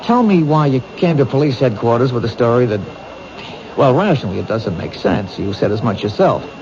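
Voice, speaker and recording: An actor playing a patient, thoughtful police detective who asks gentle, probing questions in a 1970s radio drama. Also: male voice, medium pitch, big pitch swings, brisk. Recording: dull old radio broadcast, noisy.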